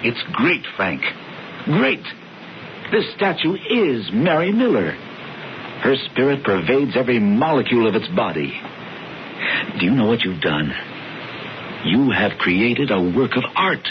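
An actor playing a calm, knowing older brother who insistently tempts and persuades, heard on a dull, lo-fi radio broadcast. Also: male voice, mid-range voice, a little slow, long pauses, slight background noise. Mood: persuasive. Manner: smooth, insistent, theatrical. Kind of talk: 1970s radio drama acting.

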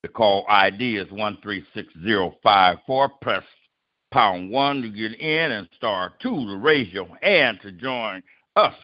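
A man's voice: fairly low pitch, animated, unhurried, dry recording, lo-fi sound. Man hosting an open mic with MC flair. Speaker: host.

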